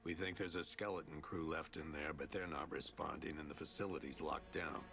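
An actor playing a gruff, stubborn older police commissioner in a video game, with an American accent. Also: male voice, extremely low pitch, steady tone, brisk. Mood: frustrated. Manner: gruff, blunt. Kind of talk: video game cutscene acting. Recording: game soundtrack behind the voice.

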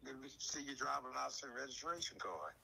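A man's voice: mid-range voice, expressive, brisk, vocal fry, bright, forceful tone, slight background noise. A man from North Carolina telling a personal story in a folksy, conversational way, acting out the dialogue. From North Carolina, USA. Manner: folksy, acts out quoted dialogue.

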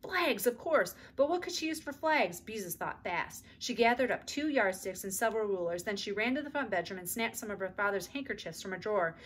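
A middle-aged woman reads a children's book aloud at home. Her delivery is expressive storytelling in a mid-pitched voice with a bright, crisp edge. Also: fairly quick, hardly any pauses. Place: indoors in a room at home.

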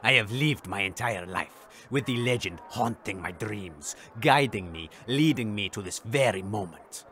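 Guy doing a pompous treasure hunter journalist in a radio comedy, very animated pitch, some noise behind him. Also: a little slow, hardly any pauses. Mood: grandiose. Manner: pompous, dramatic. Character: grandiose, self-important male investigative journalist and treasure seeker. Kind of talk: comedy radio play acting.

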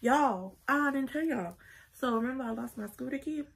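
Gravelly woman chatting to camera. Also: sits high, normal speed, a bit bright. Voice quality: gravelly morning voice. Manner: chatty, laughing, addresses viewers as y'all. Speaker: vlogger. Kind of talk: casual chat to camera. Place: small bedroom at home, indoors. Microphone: phone held close or propped at arm's length.

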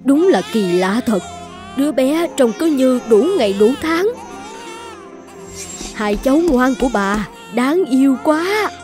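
Casting: tender cartoon matriarch, high exclaiming voice, pleading, light music underneath. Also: female voice, animated, slow, long pauses. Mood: doting. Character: soft-hearted middle-aged mother, a doting new grandmother. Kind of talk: animated fairy-tale dubbing.

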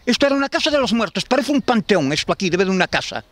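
A man's voice: high-pitched, sing-song, racing, fairly bright tone, dry recording. A man in his fifties with a Galician Spanish accent, talking in a street interview outdoors, rambling and repeating himself with many restarts. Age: 50s. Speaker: tenant facing eviction. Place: outdoors in a garden. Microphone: handheld interviewer mic.

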